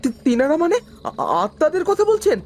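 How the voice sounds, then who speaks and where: A man playing a polite, easily startled office worker in an animated horror story. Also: extremely high pitch, normal speed, faint music behind. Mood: frightened. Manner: earnest, startled, stammers when scared.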